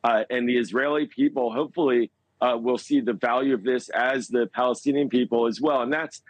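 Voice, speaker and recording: A man giving foreign policy analysis as a news interview guest, speaking in a measured, halting way and stressing key words. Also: medium pitch, steady tone, no echo, rough recording.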